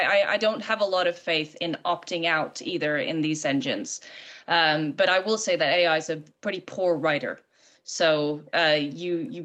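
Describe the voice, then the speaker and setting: A woman's voice: sits low, a bit bright. A woman screenwriter speaking as a guest, earnest and emphatic, sometimes stumbling into restarts. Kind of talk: opinionated discussion.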